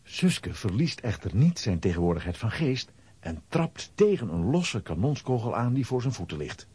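A man's voice: medium pitch, very animated, moderate pace, darkish tone, slightly rough, no echo. A man narrating a children's audio drama in a scripted storytelling style.

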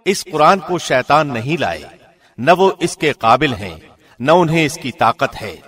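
Animated male scripture reader with long reverb. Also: on the high side. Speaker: translation reader, radio presenter. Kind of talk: formal scripted scripture translation reading.

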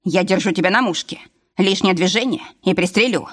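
A woman's voice: mid-range voice, a little fast, fairly bright tone, lightly acted. A woman voicing a paranoid young man in an audiobook, suspicious and hostile, with curt demands. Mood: threatening.

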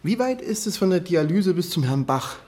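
A man's voice: sits high, sing-song, normal speed, a little mellow. A man playing a nosy, dogged amateur investigator in a radio drama, with a blunt, insistent, probing manner. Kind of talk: naturalistic radio drama acting.

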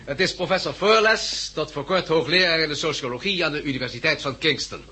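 Resolute male committee chairman, Dutch, very bright forceful voice, formal oratory, 1960s radio drama. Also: a little high, a little fast, slight background noise. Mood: formal, courteous. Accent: Dutch, Netherlands.

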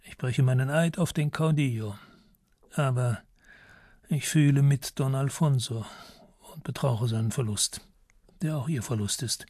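A man playing a courtly, secretive old ex-intelligence officer in a radio crime drama, gravelly and formal, choosing his words with dignity. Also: medium pitch, a little slow, long pauses, darkish tone, no echo, studio quality. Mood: confiding, grave. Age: older.

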